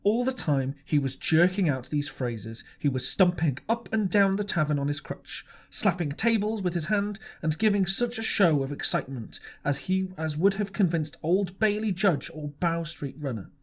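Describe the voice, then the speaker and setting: A man reading a classic novel aloud as an audiobook, with expressive storytelling. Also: on the high side, normal speed, few pauses. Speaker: audiobook reader.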